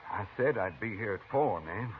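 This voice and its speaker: A man's voice: on the low side, expressive, moderate pace, lightly acted. A man playing a slick, selfish gambler. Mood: persuasive. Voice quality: smooth-talking, evasive manner. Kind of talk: 1950s radio drama acting. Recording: tinny vintage radio broadcast with hiss.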